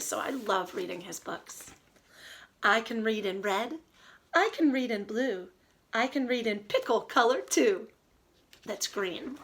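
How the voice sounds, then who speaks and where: A woman teacher reading aloud and giving a lesson to young children in an expressive, child-directed way. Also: fairly high pitch, gravelly. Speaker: early elementary teacher.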